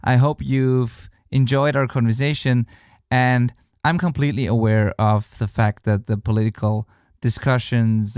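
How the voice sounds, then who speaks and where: Creaky-voiced man, earnest podcast host. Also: mid-range voice, a little mellow, no room sound, studio quality. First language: not English. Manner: earnest, rambling, frequent restarts. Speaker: podcast host, mixing engineer. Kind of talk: podcast outro monologue.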